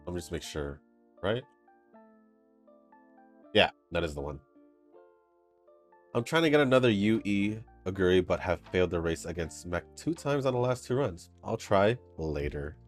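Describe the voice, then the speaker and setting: A man's voice: sits low, sing-song, normal speed, long pauses. A man hosting a gaming channel, chatting casually over gameplay with a stuffy, congested nose, rambling and laughing between comments. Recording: faint game music behind. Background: soft game music.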